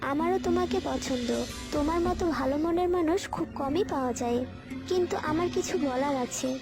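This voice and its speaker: A woman's voice: high-pitched, brisk, close to an everyday voice. A woman voicing a sweet, protective young witch bride in a scripted cartoon. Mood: tender, earnest. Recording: loud background music. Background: music.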